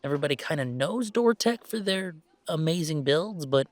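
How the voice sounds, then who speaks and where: A man's voice: a little high, animated, normal speed, no room sound, close to an everyday voice. A man playing a weary but fond werewolf gamer who streams, bantering and complaining in a conversational roleplay audio. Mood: fond, earnest. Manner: sighing, bantering, mock-defensive complaining. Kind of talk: one-sided roleplay audio drama, conversational.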